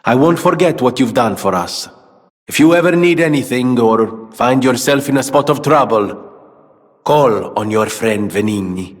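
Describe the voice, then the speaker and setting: An actor playing a flamboyant, vain but kind-hearted inventor in a video game, with a theatrical, grandiose way of talking. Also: male voice, mid-range voice, expressive, normal speed, long reverb tail, lightly acted. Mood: friendly, boastful. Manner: theatrical, grandiose, florid phrasing. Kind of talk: video game character acting.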